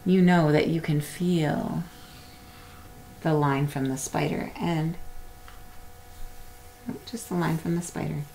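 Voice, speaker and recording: A middle-aged woman reading a picture book aloud to young children in a simple, deliberate storytelling way. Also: low voice, steady tone, gravelly, mellow, a little hiss or hum, rough recording. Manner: simple, deliberate storytelling with acted animal sounds. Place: small indoor room at home or classroom.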